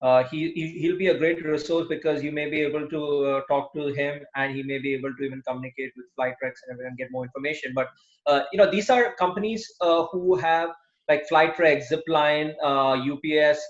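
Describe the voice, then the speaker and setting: A man hosts a video call, speaking in a halting way with frequent "uh" between phrases. His voice sits a little high for a man and rings in an echoey room, heard through dull, lo-fi call audio. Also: steady tone. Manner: hesitant, many "uh" fillers. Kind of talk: casual video call discussion.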